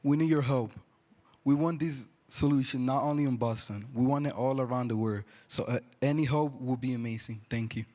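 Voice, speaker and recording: A man's voice: medium pitch, brisk, mellow. A teenage boy presenting a school project and making a plain, earnest appeal. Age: teens. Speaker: student presenter. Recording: long hall reverb.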